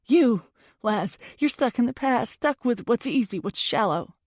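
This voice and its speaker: A woman's voice: a little high, expressive, unhurried, dry recording, studio quality. A woman voicing a heartbroken, wounded and furious young woman in first-person audiobook dialogue, emotional and emphatic. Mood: seething, accusing.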